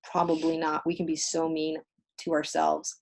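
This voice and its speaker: A woman with a slightly low voice, a native Spanish speaker. She co-hosts a casual spiritual healing livestream, giving readings conversationally, with emphatic phrasing and pauses for effect. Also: completely flat delivery, creaky, a little mellow, rough recording.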